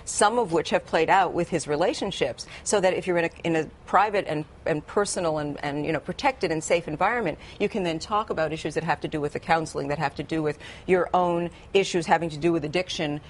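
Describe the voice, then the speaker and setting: A woman gives expert commentary as a psychologist on a news program. Her voice is a little low, and she explains at length, often repeating a phrase for emphasis. Faint noise sits behind the voice. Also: fast, hardly any pauses.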